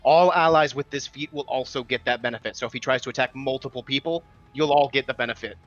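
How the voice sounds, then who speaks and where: A man's voice: medium pitch, steady tone, fast talker, fairly bright tone, no room sound. A man playing a tabletop roleplaying game, casually explaining his moves and the rules. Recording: dull lo-fi mic, background music.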